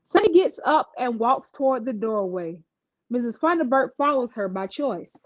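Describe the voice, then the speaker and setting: A woman storyteller reading a children's picture book aloud in an expressive style. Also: a little high, normal speed, no echo.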